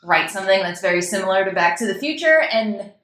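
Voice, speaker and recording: A woman's voice: medium pitch, lively intonation, quick pace, a bit bright, a little hiss or hum. Chatty woman in a roomy space. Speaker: guest playwright. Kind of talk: casual interview chat. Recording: roomy, echoing sound.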